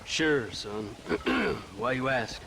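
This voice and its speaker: A wry, folksy American frontier lawman in middle age. He is world-weary and sardonic, in a game cutscene with clear outdoor noise and hooves. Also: medium pitch, big pitch swings, very slow, full character voice. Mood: wry, chatty. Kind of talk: video game cutscene acting. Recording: noisy outdoor ambience with horse hooves.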